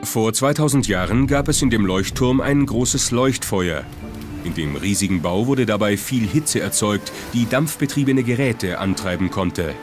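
A man's voice: fairly low pitch, lively intonation, moderate pace. A man reading scripted documentary narration. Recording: music playing behind the narration. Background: music.

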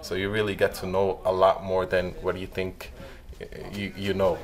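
A man's voice: deep voice, completely flat delivery, normal speed. A young man from Lebanon, a shop owner, talking casually in a documentary interview inside his small shop.

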